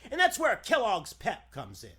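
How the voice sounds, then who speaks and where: A man's voice: high-pitched, huge pitch swings, normal speed, pushed and bright, breathy edge. A man announcing and narrating in the emphatic, theatrical style of a 1940s radio show.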